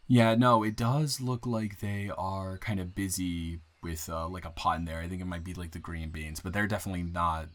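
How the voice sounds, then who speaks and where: A man playing a nervous, smitten new guy in town in an improvised romantic audio roleplay, halting and playfully teasing. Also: low-pitched, steady tone, a bit bright. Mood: bashful, flustered.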